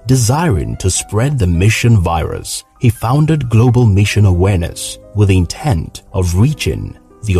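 A low, gravelly male announcer with a dark, mellow tone reads a formal introduction. His pitch moves a lot as he announces, and soft music plays faintly underneath. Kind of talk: scripted introduction.

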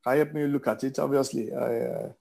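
A man in his fifties with a Nigerian accent giving reflective interview answers, haltingly and with hesitation, repeating words for emphasis. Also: medium pitch, steady tone, vocal fry, warm tone. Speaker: interview guest, businessman.

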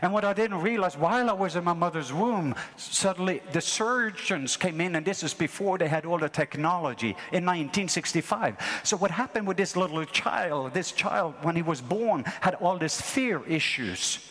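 Excitable Norwegian preacher in a hall. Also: male voice, high voice, normal speed, few pauses. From Norway. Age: 50s. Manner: excitable, repetitive call-and-response preaching. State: has a mild ear infection. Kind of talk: sermon.

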